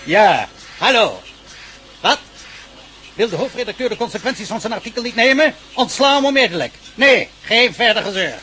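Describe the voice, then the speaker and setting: An actor playing a brusque, money-minded newspaper boss in a radio play, brisk and dismissive. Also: male voice, high-pitched, expressive, sharp tone. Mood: irritated, commanding. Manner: brisk, dismissive, barking orders. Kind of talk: radio drama acting. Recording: noisy radio play recording.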